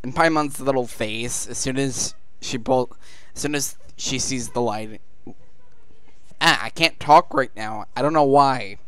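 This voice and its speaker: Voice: mid-range voice, a little slow, a bit bright, clear, resonant tone, no echo. A gamer giving casual commentary over a playthrough.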